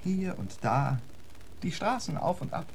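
Urbane, lilting gentleman poet. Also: on the high side, big pitch swings, measured pace, slight background noise. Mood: courteous, breezy. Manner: elaborately polite, wry, eloquent. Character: witty, urbane gentleman poet, flippant and unflappable. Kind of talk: radio drama acting.

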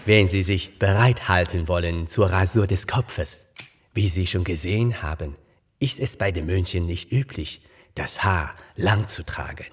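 A man playing a courteous, calm monastery attendant in a radio drama, speaking formally and politely. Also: low-pitched, a little slow, slightly rough. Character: courteous, calm male monastery attendant announcing head shaving. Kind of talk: satirical radio drama acting.

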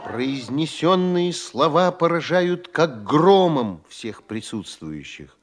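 A man narrating the stage directions of an audio play in a measured, declamatory way. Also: mid-range voice, a little mellow, natural voice.

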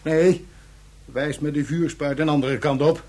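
A man's voice: fairly high pitch, steady tone, measured pace, a little mellow. A man playing a scornful, hot-headed captive with a sarcastic, insolent manner in a science fiction radio drama.

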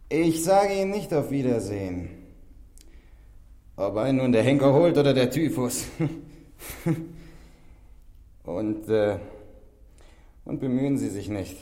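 An actor playing a cynical, sly convict in a 1960s radio play, drawling slangy lines with musing sighs and hums. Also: male voice, mid-range voice, lively intonation, a little slow, pauses often, dark tone, close to an everyday voice. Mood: defiant, mocking. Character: cynical condemned male crook, sly and unrepentant.

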